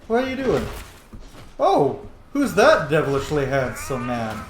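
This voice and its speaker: A man giving casual, sweary, playful commentary as he plays a video game, excitable in his delivery. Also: a little high, measured pace. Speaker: gamer, let's play host. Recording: roomy echo, faint background noise.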